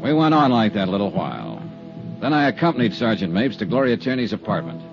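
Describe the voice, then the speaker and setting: An actor playing a wry, unruffled insurance investigator in 1950s radio drama, with dry, clipped delivery. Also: male voice, medium pitch. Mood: matter-of-fact narration. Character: cool, wry hard-boiled male insurance investigator. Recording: dull 1950s radio recording.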